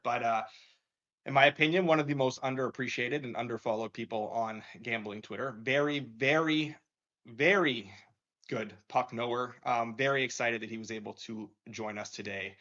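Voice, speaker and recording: A man's voice: medium pitch, brisk, fairly bright tone. Upbeat man hosting, lo-fi sound. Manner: upbeat, laughing, filler-heavy. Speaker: show host. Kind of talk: casual sports betting chat. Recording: dull lo-fi sound.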